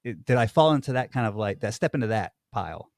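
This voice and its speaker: A man's voice: fairly low pitch, expressive, fast talker, a little mellow, dry recording, clean studio sound. A man co-hosting a podcast, talking hesitantly and questioning himself in casual conversation. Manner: hesitant, self-questioning, restarts. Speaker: podcast co-host.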